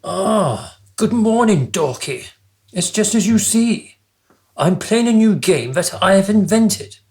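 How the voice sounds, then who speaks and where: A man's voice: high-pitched, expressive, takes it slowly, a little mellow, full character voice. An actor playing a childish, vain and callous king in a comic radio play, pompous and petulant. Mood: gleefully proud. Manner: pompous, petulant, theatrically posh phrasing.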